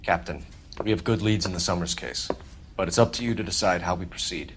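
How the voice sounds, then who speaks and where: A man's voice: low voice, fairly quick, fairly bright tone, slight background noise. An actor playing an earnest, formal American homicide detective in his thirties in a 1940s crime game, with a clipped, insistent manner. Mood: serious, questioning. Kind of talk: video game crime drama acting.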